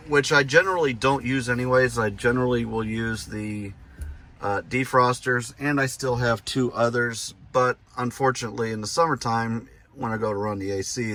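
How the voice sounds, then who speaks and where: A man hosting a casual hands-on DIY vlog, thinking aloud in a halting, self-deprecating, crude way. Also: mid-range voice, sharp tone. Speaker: host of a DIY truck modification vlog. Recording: clear background noise and ambience.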